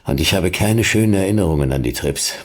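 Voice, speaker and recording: An actor playing a gruff, world-weary older private detective in a radio play, terse, dry and sardonic. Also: male voice, low-pitched, lively intonation, fast. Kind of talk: German radio play acting with noir narration.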